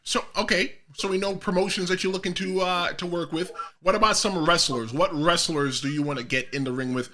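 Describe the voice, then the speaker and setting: A man with a Jamaican American and New York accent hosts a relaxed interview, prodding and teasing his guest with plenty of energy. His voice is sharp and very bright, sitting a touch higher than most men's. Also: brisk, hardly any pauses. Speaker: podcast host. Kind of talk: casual interview.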